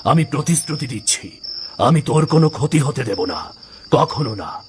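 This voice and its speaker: A man's voice: medium pitch, animated, normal speed, slight background noise, natural voice. Man voicing a solemn, protective giant demon. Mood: solemn, reassuring. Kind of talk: cartoon ghost story dialogue.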